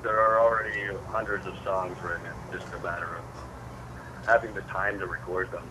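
A man's voice: sits low, fairly even intonation, normal speed, background noise. A man, a musician guest, talking casually in an interview with many fillers and restarts.

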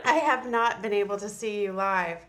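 A woman hosts a podcast and interviews a guest. She asks questions in an admiring tone. Her voice is mid-pitched. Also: normal speed, a crowd in the background, rough recording.

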